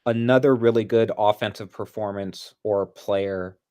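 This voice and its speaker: A man's voice: fairly low pitch, fairly even intonation, a little slow, darkish tone, smooth. A man co-hosting casual sports commentary, analysing the game in a conversational, explanatory way.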